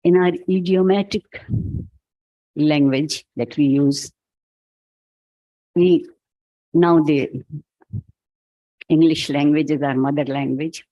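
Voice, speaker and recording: A man with a Pakistani accent giving a reflective keynote talk, speaking in a measured, halting, philosophical way. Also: fairly high pitch, fairly even intonation, warm tone, dry recording, low-quality recording. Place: event hall.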